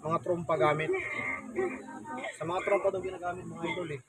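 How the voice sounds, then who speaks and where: A man's voice: fairly high pitch, little pitch movement, a little fast, fairly bright tone, a little hiss or hum. A man with a Filipino accent casually narrating a repair tutorial, chatting informally to viewers as a repair vlogger. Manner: informal, addresses viewers chummily.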